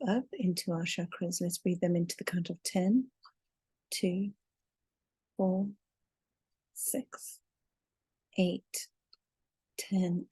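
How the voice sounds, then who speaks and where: A woman leading a guided meditation in a soothing, deliberate way, drawing out her words as she narrates. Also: on the low side, dark tone, husky, dry recording. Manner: soothing, deliberate, with long drawn-out counting.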